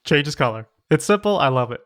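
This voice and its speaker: A man's voice: on the high side, expressive, a little fast, a bit bright, no room sound, clean studio sound. A man hosting a podcast, chatting playfully and reading listener stories aloud in a casual, punchy way. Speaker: podcast host.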